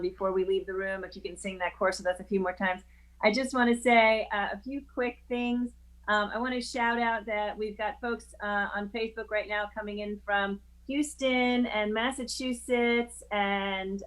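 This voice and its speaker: Female co-host, average pitch, gushing and enthusiastic, informal announcement delivery for an online community. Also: low-quality recording.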